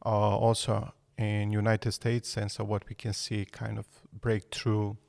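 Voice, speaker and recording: Gravelly, slow man. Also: lowish voice, completely flat delivery, darkish tone, no echo. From Serbia. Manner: hesitant, frequent fillers. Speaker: guest architect. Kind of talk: podcast interview.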